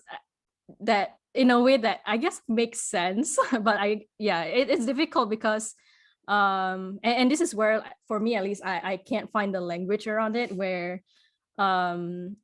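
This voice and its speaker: A woman's voice: mid-range voice, a bit bright. A woman who grew up in Singapore speaks as a podcast guest in casual conversation. She works through her ideas aloud, stopping and restarting often. Small laughs run through her talk. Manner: thoughtful, halting, many restarts and fillers, laughs often.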